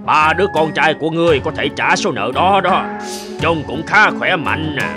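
A man's voice: a little high, moderate pace, music in the background, close to an everyday voice. A man voicing a brash, cruel debt collector in a fairy-tale cartoon, sneering and threatening like a bully. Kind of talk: animated fairy-tale acting.

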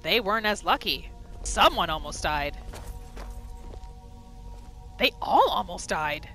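A woman giving casual, excitable role-play commentary as a gaming video host, often repeating her phrases. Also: medium pitch, slow. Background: game music and sound effects.

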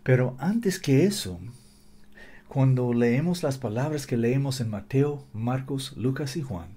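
Halting, earnest male webcam preacher. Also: medium pitch, animated, mellow, breathy edge. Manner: earnest, halting, with frequent repeats and self-corrections. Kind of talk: bible teaching sermon to webcam. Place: living room with guitars on the wall. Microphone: webcam at desk.

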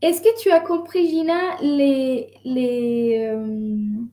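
A woman's voice: high voice, steady tone, very slow, mellow. A young woman teaching an online French class, patiently explaining and switching between French and Spanish. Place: home room. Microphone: video call, laptop mic. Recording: roomy video call audio.

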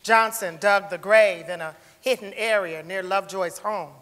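A woman's voice: medium pitch, moderate pace, fairly bright tone, natural voice. A woman giving a scripted dramatic reading as narrator on a theatre stage. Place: large indoor theatre stage. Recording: echoey theatre hall.

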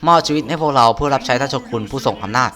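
A scheming, obsequious clan heir as a man reads him out from a game story. He sounds bright and forceful, with deferential words in a halting delivery. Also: medium pitch, lightly acted. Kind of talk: reading game dialogue aloud.

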